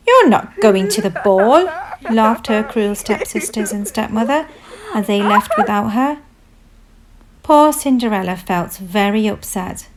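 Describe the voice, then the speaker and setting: A woman narrating a children's storybook in a measured, expressive read-aloud manner. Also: medium pitch. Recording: music behind the voice. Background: music.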